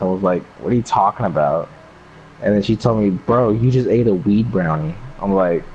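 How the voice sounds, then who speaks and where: A man's voice: medium pitch, a little mellow. A young American man casually telling an anecdote to a friend in a slangy, sweary, rambling way. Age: young adult. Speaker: storyteller. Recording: dull lo-fi mic, faint background noise.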